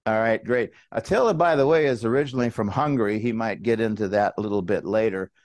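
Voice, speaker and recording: A man hosts a podcast with a fairly low voice. He is informal and chatty, addressing listeners and guest directly. The sound is dry, with very little room in it. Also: fast, no room sound. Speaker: podcast host and business coach. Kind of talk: casual podcast introduction and interviewing.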